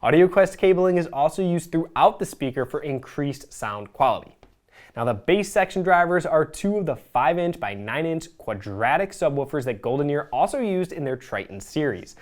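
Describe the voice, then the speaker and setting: A presenter reading a scripted product review in a measured, explanatory way. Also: mid-range voice, big pitch swings.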